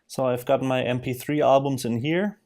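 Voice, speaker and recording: A man narrating a casual software screen demo in a halting way, thinking aloud as he goes. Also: medium pitch, fairly even intonation. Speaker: software demonstrator. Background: music from audio players being demonstrated.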